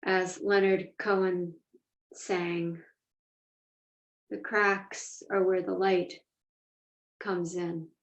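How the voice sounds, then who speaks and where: A woman's voice: lowish voice, flat delivery. Echoey, distant woman guiding a meditation. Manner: measured, deliberate, pausing between phrases. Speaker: meditation teacher. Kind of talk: guided meditation, slow instruction. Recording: echoey room, distant mic, dull sound.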